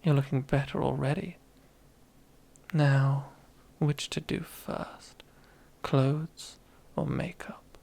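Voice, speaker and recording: A woman's voice: very deep voice, steady tone, slow, creaky, warm tone, exaggerated voice. A woman playing a domineering, teasing female boss in an ASMR roleplay, half-whispering in a seductive, commanding way. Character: domineering, teasing female boss relishing power over old rival.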